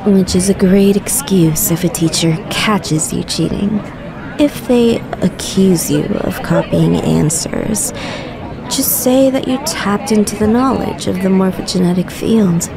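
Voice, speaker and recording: A woman playing a dry, knowing teacher's assistant in a visual novel, with a deadpan manner. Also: medium pitch, unhurried, gravelly, crowd noise. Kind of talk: visual novel character acting. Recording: music behind the voice.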